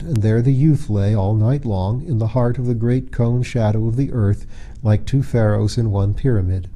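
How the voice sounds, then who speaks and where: A man narrates a storybook with expression. His voice is mid-pitched and very soft and dark in tone, and his delivery is measured and literary. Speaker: audiobook reader.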